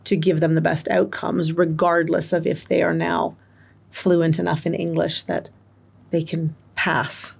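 A woman's voice: on the low side, level tone, measured pace, creaky, breathy edge. A woman guest giving long, articulate interview answers on education, explaining her points with examples. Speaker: guest expert on multilingual education.

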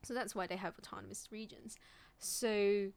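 A woman's voice: mid-range voice, moderate pace, smooth. A woman from China, a native Cantonese speaker, explaining things informally and thoughtfully in conversation. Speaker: discussion participant.

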